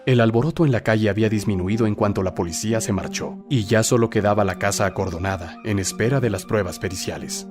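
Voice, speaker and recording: A man's voice: fairly low pitch, fast talker, few pauses, music in the background. A man narrating a scripted horror story in a theatrical, suspenseful storytelling manner.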